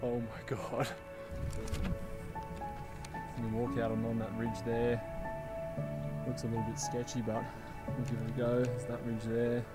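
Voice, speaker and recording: A man's voice: mid-range voice, measured pace, pauses often. Young male travel vlogger, mid-range voice, casual and upbeat, on a camera mic with background music. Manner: relaxed, enthusiastic, chatty with fillers. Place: outdoors on windy cliffs and inside a car. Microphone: camera-mounted mic, held at arm's length.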